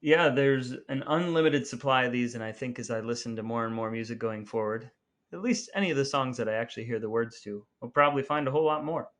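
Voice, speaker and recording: A man's voice: mid-range voice, fast, a bit bright. A man co-hosting a podcast in a wry, folksy, conversational way. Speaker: podcast co-host.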